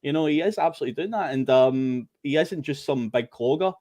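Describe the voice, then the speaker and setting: A man's voice: on the high side, darkish tone, no room sound. A Scottish man co-hosting a football podcast, chatty and full of ums. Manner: quick, chatty, lots of ums. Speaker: podcast co-host. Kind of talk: casual football chat.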